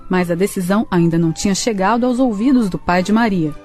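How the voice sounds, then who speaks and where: A woman's voice: mid-range voice, fast, music in the background. A woman with a Brazilian accent narrating a scripted radio drama.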